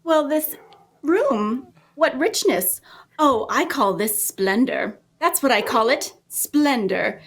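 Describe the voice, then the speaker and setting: A chatty young lady cat, as acted by a woman in a live script reading, prim and easily flustered. She rambles and gushes theatrically, her fairly high, husky voice swooping up and down. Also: expressive, slow pace, exaggerated voice. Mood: gushing.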